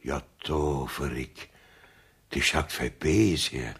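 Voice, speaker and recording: An actor playing a battered, evasive village judge in a dialect radio play, grumbling and exclaiming in Bavarian with a gravelly, husky voice. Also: male voice, a bass voice, takes it slowly, fairly bright tone, exaggerated voice. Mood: dismayed, dismissive.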